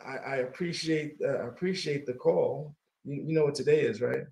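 A middle-aged man hosting a casual talk radio show, warm and laughing easily, often repeating his words. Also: mid-range voice, normal speed, vocal fry.

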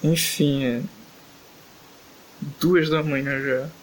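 A man's voice: a little high, fairly even intonation, very slow, a little hiss or hum, low-quality recording. A young man hosting a casual podcast, reading a fanfic aloud and joking, tired and exasperated late at night. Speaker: podcast host.

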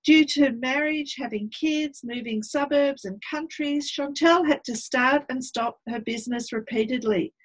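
A woman's voice: sits high, measured pace, hardly any pauses, husky, poor audio. A woman hosting an interview, upbeat in her introductions and filling her questions with hesitant "uh"s. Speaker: interview host. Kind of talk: hosted interview.

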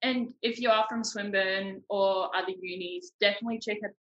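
Young woman, New Zealand accent, bright tone, husky edge, casual chatty host, informal. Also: mid-range voice, steady tone, brisk, highs cut off. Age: young adult. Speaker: podcast host, university student. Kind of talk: casual chat.

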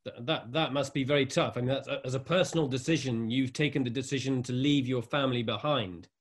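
Measured male host of an online talk. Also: mid-range voice, breathy edge. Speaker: host, moderator. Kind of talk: online panel interview.

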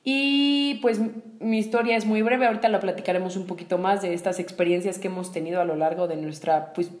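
A woman's voice: mid-range voice, a little fast, darkish tone, roomy sound. A woman in her twenties, co-hosting a podcast and steering a friendly, casual chat. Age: 20s. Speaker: podcast co-host.

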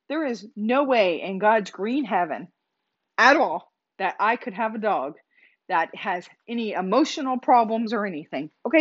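A woman hosting her own podcast, talking casually in a loud, emphatic voice with many restarts. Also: on the high side, highs cut off. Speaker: podcast host. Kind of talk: casual solo podcast monologue.

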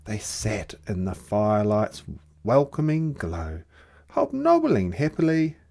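A storyteller reading a rhyming children's picture book aloud in an expressive, theatrical way. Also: mid-range voice, takes it slowly, vocal fry. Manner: theatrical, dramatic delivery.

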